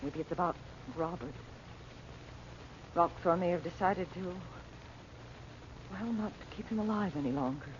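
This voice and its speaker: A woman's voice: on the low side, lightly acted. Actress as a practical woman, muffled radio serial. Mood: concerned. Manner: brisk, chiding, questioning. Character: practical, concerned woman, hero's confidante. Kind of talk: 1940s radio serial acting. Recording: muffled, noisy old radio recording.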